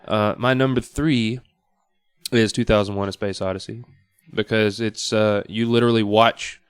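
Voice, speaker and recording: A man speaking casually as a guest on a podcast, halting now and then as he thinks aloud. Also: fairly low pitch, steady tone, smooth, no room sound, clean studio sound. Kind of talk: casual chat. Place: podcast studio.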